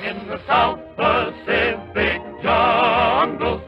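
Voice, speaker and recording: A woman's voice: on the high side, little pitch movement, extremely slow, muffled recording. A woman singing in a 1940s patriotic style on an old recording with music.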